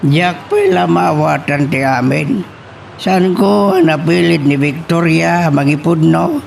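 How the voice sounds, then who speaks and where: A man playing a grave, worried older father in an Ilocano audio drama, with a creaky voice and an Ilocano accent. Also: a little high, takes it slowly, heavily acted. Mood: worried, resigned. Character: grave, worried old father advising his daughter. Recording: clear background hiss and noise.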